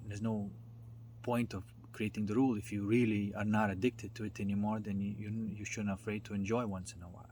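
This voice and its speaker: A man giving an informal, unscripted talk as a spiritual self-development speaker, halting as he searches for words and often checking in with the listener. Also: on the low side, darkish tone. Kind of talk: informal unscripted explanatory monologue. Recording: noticeable background noise.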